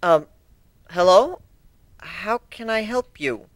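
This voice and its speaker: A man's voice: high voice, animated, takes it slowly, no room sound, pitched above the performer's own voice. A man voicing a bookish, curious young female pony in an amateur dramatic reading, questioning and a little hesitant. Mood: nervous.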